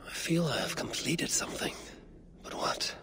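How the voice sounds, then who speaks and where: An actor voicing a game's hero who quietly mutters his thoughts while exploring. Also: moderate pace, close to an everyday voice. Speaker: player character.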